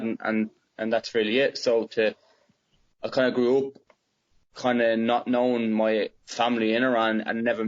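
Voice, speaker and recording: Young Dublin-accented man, low-pitched, halting casual answers, muffled recording. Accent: Dublin Irish. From Ireland. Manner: hesitant, many fillers and restarts. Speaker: footballer guest.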